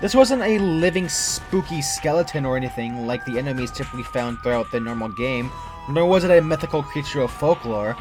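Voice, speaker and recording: A man's voice: mid-range voice, lively intonation, brisk. A man reading a scripted first-person horror story as its narrator. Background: background music.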